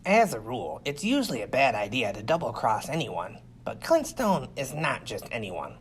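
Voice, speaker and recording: A man's voice: mid-range voice, sing-song, normal speed, noisy background. A man reading a first-person science fiction adventure story as scripted audiobook narration. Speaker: audiobook reader.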